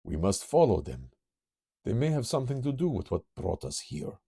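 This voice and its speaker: A man's voice: mid-range voice, sing-song, moderate pace, gravelly, dark tone, breathy edge, voice pushed up, heavily acted. A man doing a solemn hero with German-Russian accent. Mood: grave. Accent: put-on German-Russian. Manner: solemn, formal, stately. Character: grave adult male hero, teleporter, commanding.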